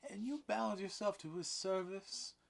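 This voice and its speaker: A man's voice: a little slow, close to an everyday voice. A man voicing a stern, pompous older male judge who presses a prisoner for a confession, in a read-aloud play. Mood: pressing. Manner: insistent, officious, raised pitch.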